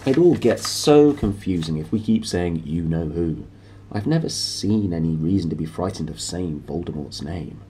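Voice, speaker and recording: A man voicing a calm, courteous old male wizard in a children's storybook, mild and gently amused. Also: low voice, a little hiss or hum. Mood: reasonable. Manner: mild, unhurried and politely amused.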